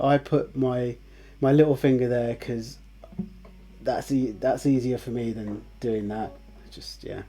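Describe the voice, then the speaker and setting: A man hosting a casual guitar tutorial, chatty and relaxed, with fillers and restarts, who says his voice is on the low side. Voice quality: lower voice, by his own account. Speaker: guitar teacher, channel host.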